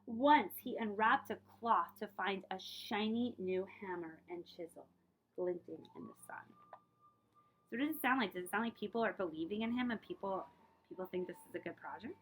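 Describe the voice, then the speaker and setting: A woman librarian reading aloud for children in an expressive storytelling way, speaking directly to her young listeners. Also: medium pitch, moderate pace, slight background noise, low-quality recording.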